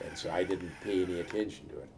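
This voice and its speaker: An older man from a Norwegian-speaking farm family in the upper Midwest, telling halting, rambling memories with many fillers in an oral history interview. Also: sits low, fairly even intonation, gravelly, a little mellow, a little hiss or hum.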